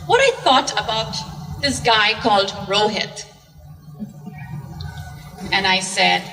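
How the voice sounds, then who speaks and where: A woman with a bright, lively voice tells her own story on a museum stage, slowly and with poetic drama. She sounds far from the mic, in a large echoing hall. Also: on the high side. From Zambia. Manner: slow, dramatic, poetic delivery. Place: art museum event stage with audience. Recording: distant mic in a reverberant hall.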